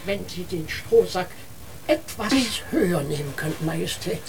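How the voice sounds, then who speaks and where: An actor playing a dutiful, formal and deferential court marshal of a make-believe court in a German children's radio drama. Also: male voice, sits high, moderate pace, gravelly, a little hiss or hum. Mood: dutiful, dry.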